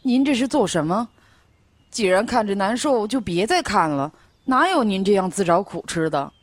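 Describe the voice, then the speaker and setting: Lively, fretful attendant. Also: female voice, mid-range voice, moderate pace. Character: fretful, caring attendant of an immortal prince. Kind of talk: Chinese audio drama acting.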